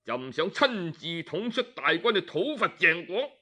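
A man with a Cantonese accent telling a historical tale colloquially, with drawn-out particles and interjections. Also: high voice, sing-song, bright, forceful tone. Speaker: storyteller. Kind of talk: lively traditional oral storytelling of historical tales.

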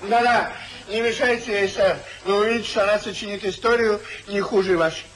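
An actor playing an excitable older gentleman husband, blustering and sardonic, in a 1950s radio comedy. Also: high-pitched, fairly quick, poor audio. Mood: bitter sarcasm. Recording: hissy 1950s radio play recording.

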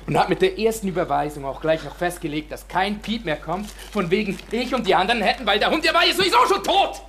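A bitter, defiant young villager. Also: male voice, sits high, animated, bright, forceful tone, slight background noise. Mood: bitter, sarcastic. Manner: sarcastic, halting, emphatic with abrupt swings. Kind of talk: radio drama monologue.

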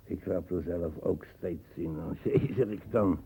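An actor playing a grumbling old colonial veteran in a radio play, rambling haltingly and with disdain. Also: male voice, deep voice, mellow, breathy edge, full character voice. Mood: scornful. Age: older.

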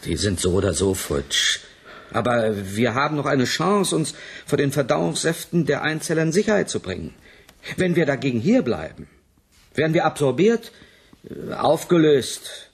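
An actor playing a sober colony scientist in a radio play, explaining grim findings in a matter-of-fact, hesitant way. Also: male voice, medium pitch, very animated, normal speed, husky. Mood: urgent, resigned. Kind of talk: 1980s German radio play acting.

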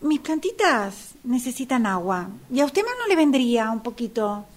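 A woman's voice: a little high, big pitch swings, normal speed. A woman with an Argentine accent playing an oblivious, teasing upstairs neighbour in an amateur radio play, cutesy and needling. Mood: breezy, needling. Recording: amateur workshop recording with background noise.

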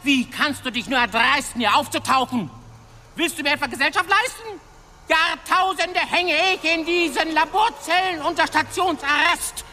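Cranky old alien genius, super high sharp voice, ranting and pompous, big reverb, sci-fi radio play. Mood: indignant ranting. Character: choleric, arrogant three-footed alien scientist, ancient and aggrieved. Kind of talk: science fiction radio drama acting. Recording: long reverb, music underneath.

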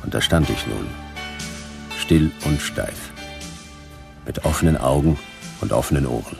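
An actor playing a laconic, hard-boiled private detective in a radio drama, narrating in terse, dry fragments. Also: male voice, a bass voice, measured pace, creaky, music in the background, heavily acted. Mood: terse, watchful.

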